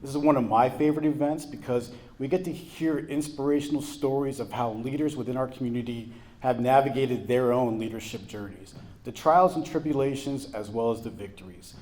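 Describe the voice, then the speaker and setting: A man's voice: mid-range voice, fairly quick, mellow. Male speaker promoting business events, upbeat and promotional, ordinary mid-range voice. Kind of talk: prepared remarks at a business breakfast. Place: event room at a college.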